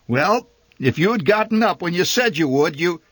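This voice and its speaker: A man voicing a practical middle-aged mother who answers back calmly. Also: medium pitch, normal speed, dry recording. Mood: matter-of-fact.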